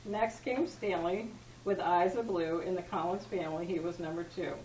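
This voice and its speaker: A woman's voice: fairly low pitch, steady tone, normal speed, a little mellow. A woman reading a rhyming poem aloud at a party in an informal, amateur way, stumbling now and then. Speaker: family member reading a tribute poem. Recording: echoey room, distant mic, background noise.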